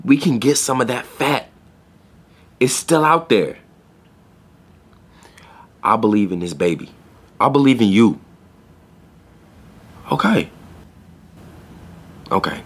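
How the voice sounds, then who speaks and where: An actor playing an earnest, deadpan young pet store clerk in a podcast play. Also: male voice, on the low side, a little slow, long pauses, husky, full character voice. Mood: earnest, reassuring. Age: young adult.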